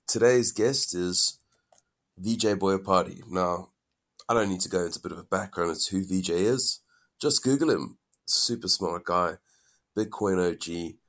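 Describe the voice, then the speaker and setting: A man's voice: deep voice, moderate pace, fairly bright tone. A man hosting a podcast interview, upbeat and enthusiastic, sniffly from a cold. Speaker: podcast host.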